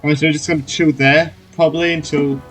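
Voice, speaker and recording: A man giving casual, excitable commentary while playing through a video game story quest. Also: on the high side, brisk, faint music behind, rough recording. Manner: excitable, reacting aloud to the game. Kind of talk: casual let's-play commentary.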